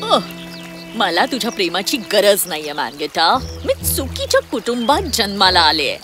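A woman's voice: mid-range voice, wildly swooping pitch, moderate pace, over music. A woman playing a vain, cruel young woman in a children's cartoon fairy tale, with a haughty, scornful, theatrical manner.

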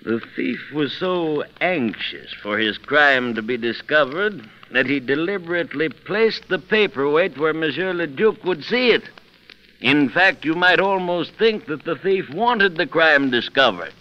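An actor playing a stern, shrewd French police chief in 1930s radio style, formal, deliberate and commanding. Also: male voice, a little high, expressive. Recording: muffled old 1930s radio recording.

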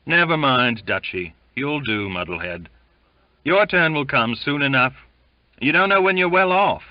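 A man voicing rough, mocking sailors in a sea story, calling out in coarse slang. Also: mid-range voice, measured pace, no room sound, lo-fi sound, pitched above the performer's own voice, lightly acted. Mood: gruff teasing. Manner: shouting, jeering, coarse seafaring slang. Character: rough, jeering sailors shouting mockery at a shipmate.

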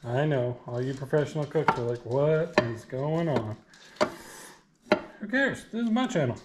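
A man's voice: mid-range voice, lively intonation, a little slow, very noisy. A man in his thirties filming a casual cooking vlog in his home kitchen, joking and chatty with lots of fillers. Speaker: vlogger cooking meal prep.

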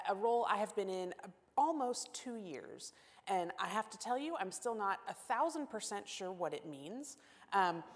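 A woman in her forties preaching as guest pastor at a church pulpit, talking expressively and gesturing. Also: mid-range voice. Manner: warm expressive, gestures while speaking. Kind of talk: sermon and scripture reading. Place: church sanctuary at wooden pulpit. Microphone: gooseneck pulpit mic. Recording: long hall reverb.

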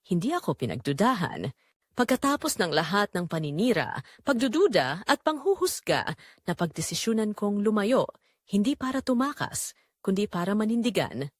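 A woman's voice: medium pitch, no echo, studio quality. A young woman with a Filipino accent narrating a scripted romantic drama in the first person, earnest and reflective.

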